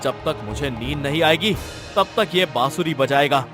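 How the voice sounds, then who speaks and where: A man's voice: mid-range voice, lively intonation, normal speed, over music, natural voice. A man voicing a stern, restless king in a cartoon story, with a commanding, haughty way of talking.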